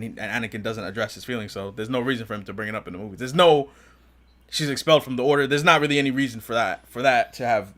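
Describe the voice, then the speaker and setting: A man's voice: mid-range voice, a bit bright. A man co-hosting a casual fan discussion, speaking in an informal, slangy way. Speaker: podcast co-host.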